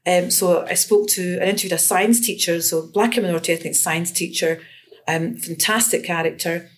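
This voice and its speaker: A woman's voice: on the low side, fairly even intonation, moderate pace. A woman in her fifties from Scotland, an education researcher and lecturer, talking through her research in an interview with frequent restarts and fillers. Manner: thoughtful, many restarts and fillers. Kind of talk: conversational interview.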